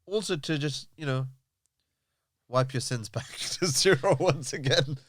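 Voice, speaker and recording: A man who is a guest on a podcast in a small studio, talking in a relaxed, joking way with a loud voice. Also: fairly high pitch, unhurried, a little hiss or hum. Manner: relaxed, joking, speaks loudly. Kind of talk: casual chat.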